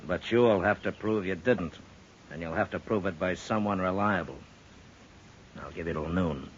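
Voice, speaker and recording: An actor playing a stern, commanding frontier marshal in a 1950s radio western, terse and authoritative. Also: male voice, sits low, normal speed, lightly acted. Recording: muffled, hissy 1950s radio recording.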